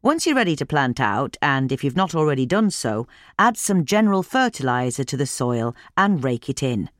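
A woman's voice: very deep voice, big pitch swings, moderate pace, no echo, studio quality. A British woman reading a scripted magazine article as a narrator.

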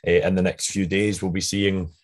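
Male podcast host, Scottish, notably low and a bit croaky, informal and prone to tangents. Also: level tone, moderate pace. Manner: chatty, rambling with frequent tangents. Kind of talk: casual podcast introduction.

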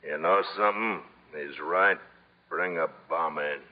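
An actor playing a sardonic gangster boss in a 1950s radio comedy, making unhurried threats in a mock-friendly manner. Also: male voice, low-pitched. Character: menacing, coolly sardonic male gangster boss. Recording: muffled old radio broadcast.

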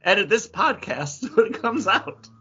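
A man's voice: sits high, animated, measured pace, sharp tone, highs cut off, a little hiss or hum. An American man co-hosting a podcast, chatting in a casual and informal style. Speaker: podcast co-host. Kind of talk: casual, joking chat.

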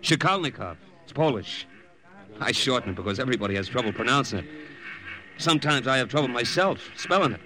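A man plays an easygoing young American captain in a vintage radio play. His mid-pitched voice is casual and wry, sometimes feeling for words, candid as a soldier over a shared meal. A faint noise of old recording sits behind him. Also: lively intonation, a little fast, lightly acted. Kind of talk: 1940s-50s radio drama acting and narration.

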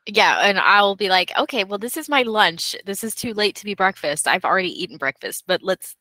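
A young woman co-hosting a chatty podcast, playful and quick to laugh. Her voice is bright and sits at a middling pitch, and she is recorded close on a mic in a dry, dead space. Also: expressive, no room sound, poor audio. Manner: playful, upbeat, laughs often. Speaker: podcast co-host. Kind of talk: casual chat.

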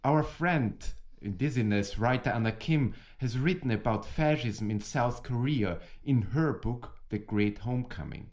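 A man's voice: mid-range voice, animated, a little slow, hardly any pauses, a little mellow. A man co-hosting with serious, prepared explanatory narration.

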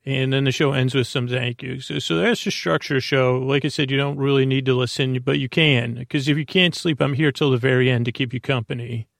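A man with a creaky, gravelly voice hosts a sleep podcast. He meanders through a loose monologue, stopping, repeating himself and drifting off on tangents. The sound is close and dry. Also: medium pitch, fairly even intonation, fairly bright tone, no room sound. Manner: halting, digressive, repeats and trails off. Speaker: host of a bedtime sleep podcast.

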